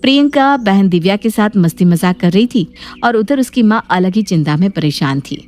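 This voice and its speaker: A woman narrates a family tale in a scripted storytelling style, at a middle pitch. Music plays behind her voice. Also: expressive, moderate pace, a little mellow.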